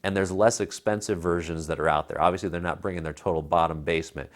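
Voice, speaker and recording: A man's voice: sits low, fairly even intonation, fast. An American man in his thirties reviewing tech in casual chat, with an emphatic, persuasive, colloquial way of talking. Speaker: tech reviewer, show co-host. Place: living room at home. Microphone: clip-on lapel mic.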